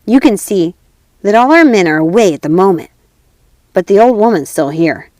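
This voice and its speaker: A woman's voice: mid-range voice, animated, normal speed, darkish tone, no echo, close to an everyday voice. A woman playing a fierce, bossy little robber girl in a storybook, speaking bluntly and emphatically. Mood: commanding. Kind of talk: storybook character voice.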